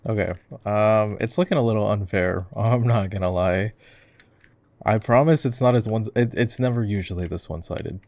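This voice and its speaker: A man in casual gaming chat for an ASMR roleplay, soft-spoken and murmuring as if to himself. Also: on the low side, normal speed, dry recording. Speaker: voice actor roleplaying a gamer boyfriend. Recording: faint noise with keyboard and mouse clicks. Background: keyboard typing and clicking.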